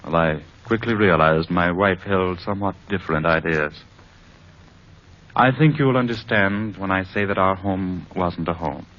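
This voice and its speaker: An actor playing an earnest, troubled married executive in an old time radio drama, speaking in a measured, formal, sincere way. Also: male voice, low voice, lightly acted. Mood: earnest, reflective. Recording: muffled old radio recording.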